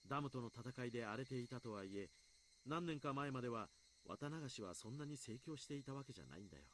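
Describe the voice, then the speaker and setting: A man's voice: mid-range voice, lively intonation, racing, a bit bright, slight background noise, natural voice. A man voice-acting in a Japanese visual novel, playing a friendly, chatty adult who recounts grim incidents with dramatic storyteller emphasis. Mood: conversational.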